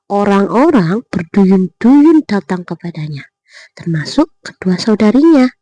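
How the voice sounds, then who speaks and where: Slow-paced woman telling a bedtime tale. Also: mid-range voice, mellow, no room sound. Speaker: storyteller. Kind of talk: narrated bedtime fairy tale.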